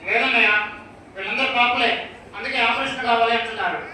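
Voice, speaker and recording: A man's voice: very high voice, quick pace, bright, forceful tone, heavily acted. A man voicing a mischievous, childlike ventriloquist puppet that calls itself a doctor, insistent and argumentative, in a live children's puppet show. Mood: scheming. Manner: insistent, argumentative, repeats words. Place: large church hall with children's audience. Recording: echoey hall, distant mic, noisy crowd.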